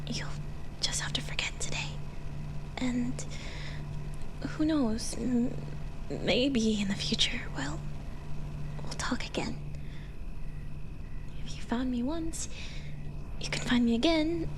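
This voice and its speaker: A woman playing a shy, gentle forest witch in an ASMR roleplay, speaking haltingly and softly apologetic. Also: on the high side, noisy background. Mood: tender farewell.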